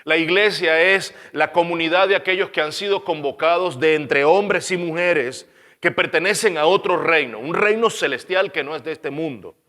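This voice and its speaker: A Venezuelan man with a Caracas accent preaches in a slightly higher voice, earnest and insistent. He repeats phrases for weight and lets line endings sink quiet. A long hall reverb trails each word. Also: normal speed. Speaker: pastor. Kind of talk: sermon.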